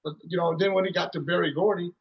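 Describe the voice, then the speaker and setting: A man's voice: high-pitched, fairly even intonation, fast, vocal fry, husky, dull audio. A man in his thirties, a musician guest, telling stories in a rambling, casual way with lots of "you know" and restarts. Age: 30s. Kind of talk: casual storytelling interview.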